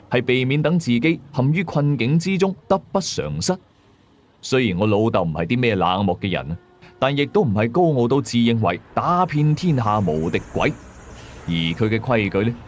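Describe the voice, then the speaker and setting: A man's voice: mid-range voice, darkish tone. A man with a Cantonese accent narrating a scripted first-person ghost story. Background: faint music.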